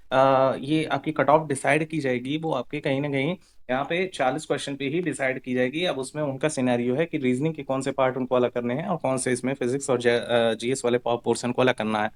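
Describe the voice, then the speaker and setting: A man presenting an informal explanatory update for exam candidates, repeating himself and mixing Hindi with English terms. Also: mid-range voice.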